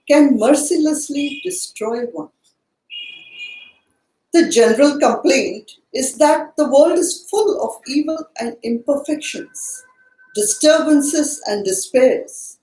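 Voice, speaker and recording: Woman, study class teacher, soft mellow tone, unhurried pace, measured formal delivery. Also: sits high. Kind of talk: spiritual study lesson, explaining and reading aloud from a book. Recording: echoey room sound.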